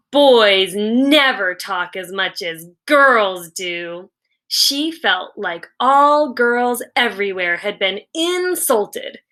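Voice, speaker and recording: A woman reading a children's novel aloud like a teacher, with expressive storytelling and deliberate, dramatic emphasis on key words. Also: mid-range voice.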